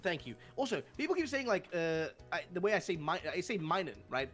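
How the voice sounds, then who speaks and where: A man's voice: high-pitched, very animated, brisk, fairly bright tone. A young man with a Swedish accent, hosting a casual discussion and rambling with many fillers and restarts. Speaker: host.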